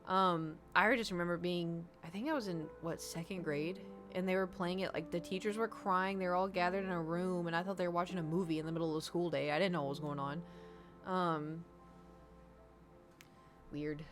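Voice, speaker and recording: A woman's voice: lowish voice, fairly even intonation, a little fast. A woman from the United States, a gaming streamer rambling in casual chat and answering her chat. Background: background music.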